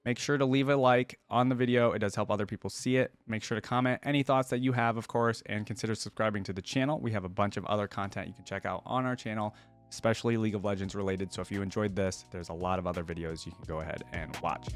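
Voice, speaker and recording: Low-voiced man rambling casually. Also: steady tone, quick pace, smooth, faint music behind. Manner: rambling, digressive, thinking aloud with hedges. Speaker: video creator, reaction host. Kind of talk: casual rambling commentary to viewers.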